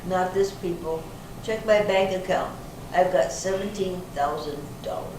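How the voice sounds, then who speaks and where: A woman playing a feisty, indignant older suspect in an amateur readers theater reading, plain-spoken and slightly halting. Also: lowish voice, natural voice. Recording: very echoey hall, distant mic, noisy.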